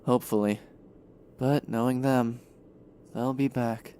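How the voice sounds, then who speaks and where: A man's voice: mid-range voice, steady tone, measured pace, warm tone, a little hiss or hum, lightly acted. Guarded, uncertain teenage boy for anime drama, middle register male actor. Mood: subdued, unsure. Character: guarded, conflicted teenage son and brother. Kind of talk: anime fan audio drama.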